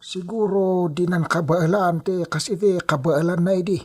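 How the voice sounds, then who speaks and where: A man playing a hesitant, respectful older farmhand with a husky voice in a radio drama. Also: sits high, fairly even intonation, moderate pace, a little hiss or hum, natural voice.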